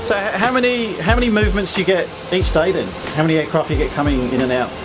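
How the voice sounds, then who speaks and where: A man's voice: high voice, fast, music in the background, rough recording. An Australian man casually interviewing someone on location as a vlogger.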